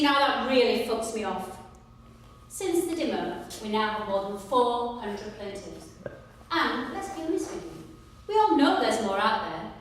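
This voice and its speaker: A woman playing a sharp-tongued, indignant lawyer in a theatrical stage monologue, combative, sarcastic and emphatic. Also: a little high. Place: large echoing performance room, distant microphone. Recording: echoey hall from far off, faint noise.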